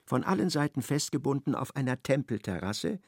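A man narrating a literary radio play in a measured, vivid storytelling manner. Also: medium pitch, very animated, slightly rough, dry recording, clean studio sound.

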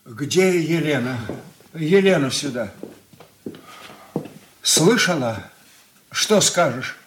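A man's voice: on the high side, animated, a little hiss or hum. An actor playing an old merchant father in a radio drama, weighty and emotional, stern yet broken and tender. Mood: stern, commanding. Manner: slow, weighty, emotional delivery. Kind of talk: 1980s Soviet radio play acting.

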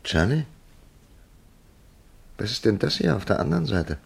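An actor playing a thoughtful writer who narrates in a radio drama, with a gravelly voice and a measured, deliberate delivery. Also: male voice, on the low side, sing-song, heavily acted. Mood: curious. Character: thoughtful male writer narrating his own puzzling encounter. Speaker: radio play lead and narrator. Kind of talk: German radio drama acting.

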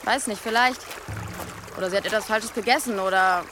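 A woman's voice: mid-range voice, brisk, noisy background, close to an everyday voice. An actress playing a cheeky, blunt village girl in casual, naturalistic audio drama dialogue. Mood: teasing, blunt. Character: cheeky, blunt, teasing village girl who hunts and forages.

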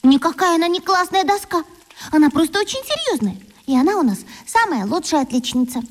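A woman's voice: very high voice, lively intonation, a little fast. An actress voicing an eager, adoring eleven-year-old schoolgirl in a radio play, talking in a pleading, gushing way.

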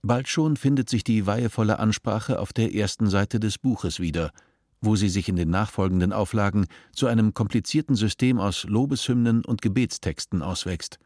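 A man narrating a radio play in a measured, serious tone. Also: fairly low pitch, darkish tone, no echo, studio quality. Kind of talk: scripted narration.

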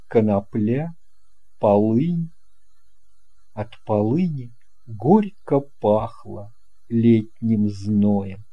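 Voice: mid-range voice, breathy edge, dull audio. A narrator reading a fairy tale aloud as scripted storytelling. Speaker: audiobook narrator.

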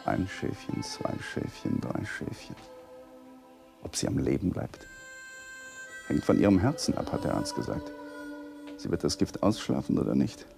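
An actor playing a troubled, polite married lawyer in a radio play, courteous and halting, often sinking into murmured brooding. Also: male voice, deep voice, huge pitch swings, vocal fry, over music, heavily acted. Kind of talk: 1980s German radio play acting.

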